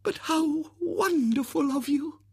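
A man reading aloud and voicing a gushing, overbearing spinster in a shrill, breathless, theatrical way. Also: takes it slowly, no echo, clean studio sound, exaggerated voice. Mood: adoring.

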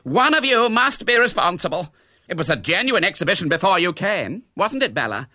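A pompous society matron from a storybook. The voice is pitched high and swoops dramatically, huffy and full of affront. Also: male voice, very animated, brisk, sharp tone, dry recording, studio quality, full character voice. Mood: indignant, affronted. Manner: dramatic, stammering when affronted.

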